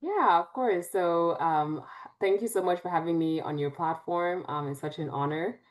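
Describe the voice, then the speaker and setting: A woman's voice: on the low side, normal speed. A young woman from Cameroon, a psychiatrist, answering interview questions with frequent "um"s. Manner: frequent "um" before phrases. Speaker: guest, psychiatrist.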